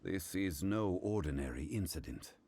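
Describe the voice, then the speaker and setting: A man voicing a dignified, scholarly gentleman in an anime game, speaking formally, gravely and deliberately. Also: sits low, animated, a little hiss or hum. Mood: grave, composed.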